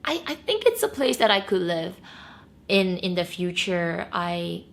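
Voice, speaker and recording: A woman's voice: lowish voice, animated, a little slow, creaky. A Malaysian woman in her late thirties, with an accent tinged by years in Singapore, answering viewer questions in a bubbly, chatty way. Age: 30s. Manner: bubbly and chatty, with frequent laughs and squeals. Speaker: beauty and luxury vlogger. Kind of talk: casual Q&A chat to camera.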